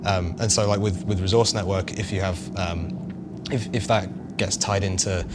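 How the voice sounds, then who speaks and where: A man talking casually and technically as a game developer, halting as he thinks aloud. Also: sits low, steady tone, over loud music. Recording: loud vehicle noise behind voice.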